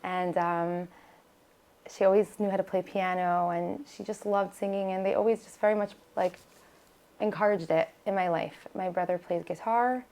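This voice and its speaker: A woman's voice: medium pitch, steady tone, moderate pace, a little mellow. An American woman whose first language was Hebrew, chatting as a podcast guest with lots of fillers and restarts. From United States. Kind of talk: casual interview talk.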